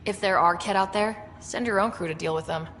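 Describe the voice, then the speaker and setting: A woman's voice: mid-range voice, brisk, a bit bright, in a large hall, slight background noise, natural voice. A woman playing a composed young explorer hero in a video game, direct and dry in delivery. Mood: direct, questioning. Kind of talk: video game cutscene acting.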